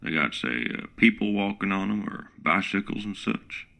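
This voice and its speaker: A man's voice: sits low, lively intonation, normal speed, gravelly, pushed and bright, heavily acted. A man playing a drawling country smart speaker. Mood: folksy. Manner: drawling, folksy, calls people partner. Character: folksy male smart-home assistant with a country drawl. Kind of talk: comedic radio play acting.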